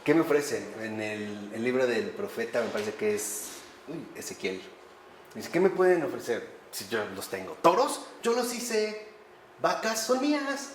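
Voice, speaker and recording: Male lecturer, animated, asks the audience rhetorical questions, echoey room with faint background hum. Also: medium pitch, measured pace. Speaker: priest teaching a class. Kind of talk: conversational lecture on faith.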